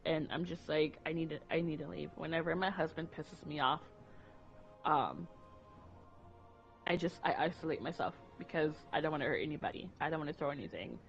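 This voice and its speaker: A woman speaking frankly in a loose, rambling way, often stumbling and restarting her words. The audio is muffled and lo-fi, and soft music plays underneath. She sounds like a co-host in a relaxed conversation. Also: low-pitched, brisk, vocal fry. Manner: candid, rambling with repeated restarts. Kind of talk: casual conversation. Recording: dull lo-fi audio with faint music.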